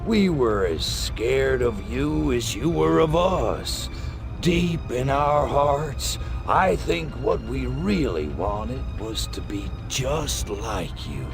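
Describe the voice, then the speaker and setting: A man voicing a wry, sardonic alien invader in a video game, with a gravelly, drawling voice. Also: medium pitch, lively intonation, slow pace, music in the background. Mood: earnest.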